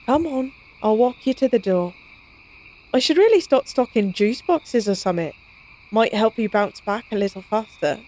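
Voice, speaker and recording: A woman's voice: on the high side, animated, normal speed, darkish tone, lightly acted. A woman playing a playful, cocky, science-obsessed vampire in an intimate audio roleplay, speaking in a casual, teasing, wry way. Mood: flippant, affectionate.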